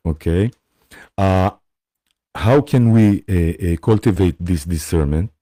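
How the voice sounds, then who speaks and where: A man with an Israeli accent gives a spiritual talk on a live stream. His voice sits fairly low and sounds mellow, and he speaks very slowly and haltingly. He pauses often to check in with his listeners, and the sound is dry and close. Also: no room sound. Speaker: spiritual teacher hosting a live session.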